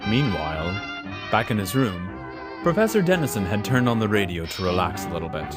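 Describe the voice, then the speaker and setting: A man's voice: lowish voice, sing-song, a little fast. A man narrates an adventure serial with theatrical suspense. His intonation swings widely from line to line, and music plays behind him.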